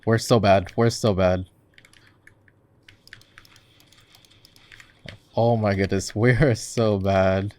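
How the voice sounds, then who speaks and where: A man playing a relaxed, playful gamer boyfriend in a casual roleplay, chatting with easy slang as he games and coaches his girlfriend. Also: fairly low pitch, a little slow, close to an everyday voice. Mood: amused, giggly. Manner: laid-back, giggly, casual slangy talk. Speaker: ASMR roleplay voice actor. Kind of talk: casual ASMR roleplay, gaming chat.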